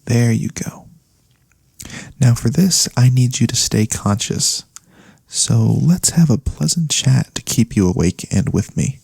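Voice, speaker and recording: A man playing a gentle, caring elf cleric in a soft-spoken ASMR fantasy roleplay, soothing and attentive, with slightly formal phrasing. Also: mid-range voice, lively intonation, measured pace, vocal fry, husky, no echo, heavily acted. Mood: gently attentive. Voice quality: soft-spoken, breath-light delivery. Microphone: close studio USB condenser microphone.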